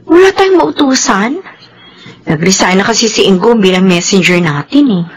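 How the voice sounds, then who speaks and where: A woman's voice: medium pitch, animated, moderate pace, slightly rough. An actress playing a feisty, easily exasperated young woman in a Filipino radio drama, blunt and theatrical in her delivery. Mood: wistful, flustered.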